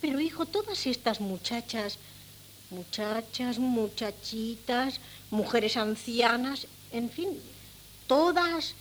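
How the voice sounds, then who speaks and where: Radio play casting: fretful, doting mother of a grown son, higher-pitched, theatrical, on humming old cassette. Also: lively intonation, takes it slowly. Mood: indignant, bewildered. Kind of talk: 1970s radio drama acting. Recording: noisy old cassette radio recording with hum.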